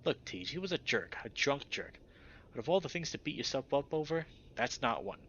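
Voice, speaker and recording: A man's voice: medium pitch, very animated, brisk, fairly bright tone, lo-fi sound, slight background noise. A man voicing an uneasy, dry-witted teenage animal character in a visual novel, plainspoken and protective of his friend. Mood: reassuring, blunt. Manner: awkward, plainspoken, wry.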